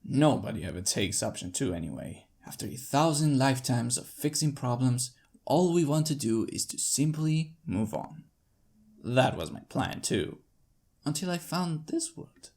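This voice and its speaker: Expressive narrator with a gravelly edge. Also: medium pitch. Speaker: fanfiction narrator. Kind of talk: scripted first-person audiobook narration in character.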